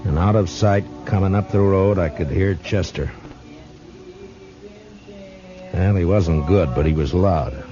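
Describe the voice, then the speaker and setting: An actor playing a stern, steady Old West lawman in a terse, commanding, unhurried radio western style. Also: low voice, music in the background, close to an everyday voice. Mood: grave narrating. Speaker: lead actor and narrator. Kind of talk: 1950s radio western acting. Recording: dull old radio recording.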